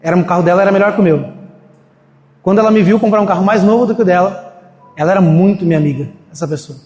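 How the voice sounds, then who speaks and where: A man's voice: high voice, rapid-fire, darkish tone. A man preaching a sermon in a church in a casual, storytelling way, acting out the voices of people he quotes. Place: church hall. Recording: echoey hall, faint ambience.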